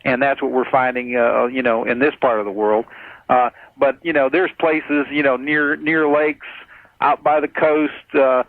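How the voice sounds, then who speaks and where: An American man calling in to a radio show, explaining his disagreement in a measured, methodical, conversational way over the telephone. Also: medium pitch, fairly even intonation, no echo. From United States. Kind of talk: conversational debate. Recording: muffled narrow phone line on radio.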